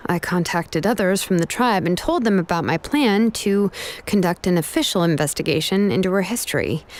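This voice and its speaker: Caring young crafter gal from a game, composed but animated, noisy ambience behind. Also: female voice, lowish voice. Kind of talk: scripted game story dialogue.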